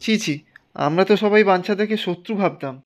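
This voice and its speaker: A man voices a young, educated village farmer in a cartoon. He is plucky and earnest, speaking quickly in exclamations. Soft music sits underneath. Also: high voice, close to an everyday voice. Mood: grateful. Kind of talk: Bengali cartoon voice acting.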